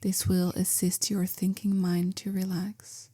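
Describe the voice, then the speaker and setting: A woman guiding a meditation in a gentle, calm, measured way, softly spoken with whispery phrase endings. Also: on the low side, slightly rough. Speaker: meditation guide, yoga teacher. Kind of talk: guided meditation, slow soothing instruction.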